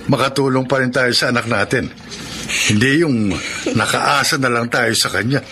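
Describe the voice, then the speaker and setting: Man acting bright-voiced, concerned father. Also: mid-range voice, moderate pace, a little hiss or hum, close to an everyday voice. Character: mild, concerned middle-aged father. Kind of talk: Filipino radio drama acting.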